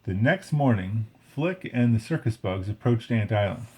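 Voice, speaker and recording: A man reads a storybook aloud with lively, swinging intonation. His voice is a little husky, and he drops in chatty asides as he goes. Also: fairly low pitch, normal speed. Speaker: storybook reader. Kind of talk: read-along story narration with casual asides.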